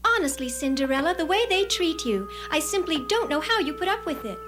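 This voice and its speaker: A woman's voice: sits high, very animated, brisk, over music. A woman voicing a small female animal friend in a cartoon fairy tale, protective, fretful and indignant on others' behalf. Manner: fretful, sympathetic, opinionated.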